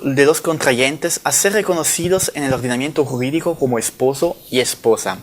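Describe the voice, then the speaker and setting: A man's voice: mid-range voice, a little hiss or hum. Italian-accented man reading a formal lecture. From Italy. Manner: measured, formal delivery with occasional self-corrections. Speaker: conference speaker. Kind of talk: formal academic lecture read from notes.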